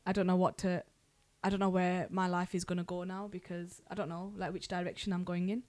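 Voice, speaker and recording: A young woman hosting a podcast, chatting casually and quickly with her siblings and often restarting her sentences. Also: lowish voice, level tone, a little mellow. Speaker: podcast host.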